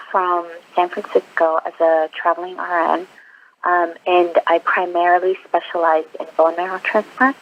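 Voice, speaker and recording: A woman's voice: fairly low pitch, slight background noise. Woman in her twenties on a muffled phone line. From Iraq. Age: 20s. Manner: earnest, hesitant with frequent ums. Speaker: interview guest, nurse. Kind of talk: phone interview.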